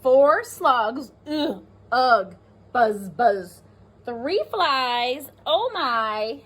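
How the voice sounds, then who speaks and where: A woman teacher reading aloud to pre-kindergarten children, expressive and sing-song, stressing rhyming words and pausing to talk to her listeners. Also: sits high, slightly rough. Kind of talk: animated children's read-aloud with asides.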